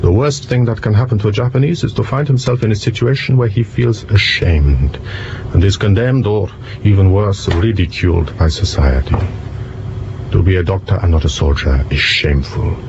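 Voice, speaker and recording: A man playing a polite, precise young gentleman detective in an audio drama, courteous and measured. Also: fairly low pitch, vocal fry. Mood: earnest, explaining. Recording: muffled, noisy vehicle ambience behind.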